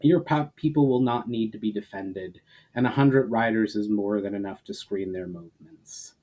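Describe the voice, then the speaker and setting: A man voicing a decisive male elf war leader in a fantasy storybook, speaking in an assured, deliberate, matter-of-fact way. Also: lowish voice, warm tone, close to an everyday voice, low-quality recording. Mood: reassuring.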